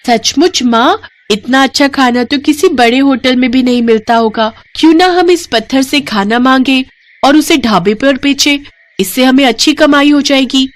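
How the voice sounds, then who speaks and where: A sweet village girl put on by a woman for a moral tale. Her voice is lifted high, with eager, wide-eyed excitement. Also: normal speed, close to an everyday voice. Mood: excited. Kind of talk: Hindi moral storybook acting.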